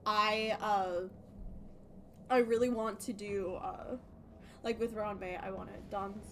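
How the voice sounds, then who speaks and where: A woman's voice: medium pitch, fairly even intonation, slow pace, a little hiss or hum. A woman live-streaming a game, chatting casually in a rambling, sweary, giggly way.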